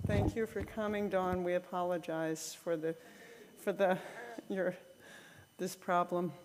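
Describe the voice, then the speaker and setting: A woman leading a church service, speaking informally and a little haltingly to the congregation. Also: fairly low pitch, flat delivery, background noise. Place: church sanctuary, indoors. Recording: echoey hall with long reverb.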